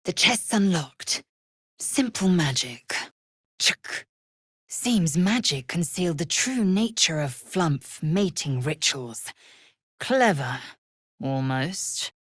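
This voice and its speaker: A woman's voice: low voice, expressive, slow pace, fairly bright tone, breathy edge, no room sound, clean studio sound, heavily acted. A woman voicing a proud, fierce alien warrior woman in a video game, with an imperious, clipped and contemptuous delivery. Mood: curt, dry.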